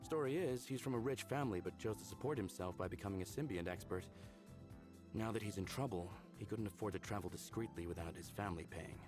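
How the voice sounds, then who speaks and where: An actor voicing an upbeat, smitten young man in a visual novel game, speaking in an earnest, casual, expressive way. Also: lowish voice, fast talker, natural voice. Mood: explaining, relaxed. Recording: background music under the voice.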